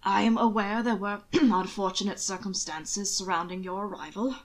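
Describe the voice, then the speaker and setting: A woman voicing a stern, elderly duke with a measured, formal way of reproving, in an audiobook reading. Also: mid-range voice, steady tone, lightly acted. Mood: sternly diplomatic. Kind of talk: amateur audiobook character reading.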